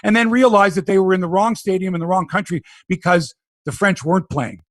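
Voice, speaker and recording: A man's voice: high-pitched, fairly quick, fairly bright tone, no room sound, clean studio sound. A middle-aged Canadian man hosting a sports podcast, opinionated and sarcastic in casual talk. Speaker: podcast host.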